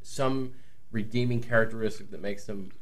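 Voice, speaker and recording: A man's voice: lowish voice, steady tone, moderate pace. A man co-hosting a podcast, asking questions and adding wry remarks in casual talk about movies. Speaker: podcast co-host.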